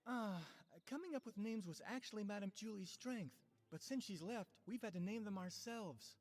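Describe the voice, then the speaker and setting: A woman's voice: medium pitch, normal speed, a little mellow, faint music behind, close to an everyday voice. A woman playing a proud, earnest, easily indignant character in a video game, explaining things emphatically.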